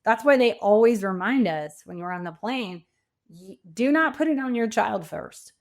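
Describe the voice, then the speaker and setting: A woman's voice: a little high, animated, normal speed. A middle-aged woman speaking as an interview guest, reflective and conversational. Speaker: podcast guest, coach and author.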